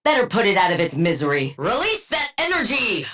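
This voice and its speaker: A woman playing a brilliant, dry-witted scientist villain-antihero in a video game, speaking in a clinical, deadpan and wryly bookish way. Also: mid-range voice, brisk. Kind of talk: video game combat voice lines.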